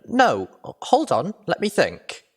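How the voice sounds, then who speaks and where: A man's voice: fairly high pitch, huge pitch swings, takes it slowly, husky, pitched above the performer's own voice, heavily acted. A man voicing an earnest, anxious young female pony heroine in an audio story. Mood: urgent, hopeful.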